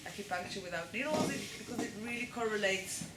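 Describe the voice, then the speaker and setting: A woman's voice: medium pitch, lively intonation, fast. A woman with an Israeli accent giving a live lecture to an audience and often checking that they follow along. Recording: echoey room with noise.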